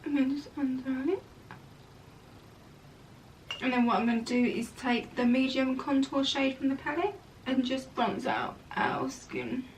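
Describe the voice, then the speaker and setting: A young woman doing a casual makeup tutorial at home, chatty and full of rambling asides, her voice a bit croaky today. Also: high voice, expressive, measured pace, pauses often, far from the mic, a little hiss or hum, low-quality recording. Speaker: makeup vlogger. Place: room at home.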